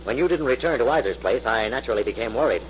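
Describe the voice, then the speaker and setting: An actor playing a calm, gallant leading man in an old radio mystery, reassuring and gently wry. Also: mid-range voice, rapid-fire, lightly acted. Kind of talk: 1940s radio mystery acting. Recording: muffled, hissy old radio recording.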